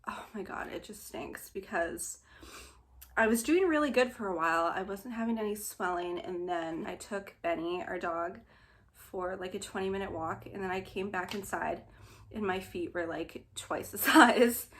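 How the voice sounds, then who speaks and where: A woman's voice: mid-range voice, moderate pace, a bit bright. A young woman, slightly nasal and short of breath, chatting casually in a vlog, laughing often between filler words. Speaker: vlogger. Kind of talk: casual pregnancy update vlog.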